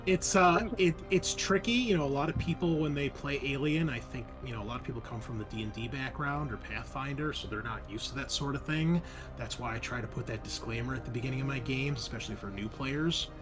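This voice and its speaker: A man's voice: mid-range voice, brisk, over music. A man chatting casually as game master and host after a tabletop game, in an informal, rambling, sometimes sweary way.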